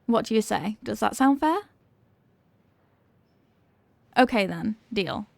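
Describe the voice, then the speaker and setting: A woman's voice: mid-range voice, lively intonation, normal speed, creaky, no room sound. A woman playing an evasive, flustered popular cheerleader being tutored, in an acted ASMR roleplay with a hesitant, defensive delivery. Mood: haughty, teasing. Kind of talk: ASMR roleplay, acted one-sided conversation.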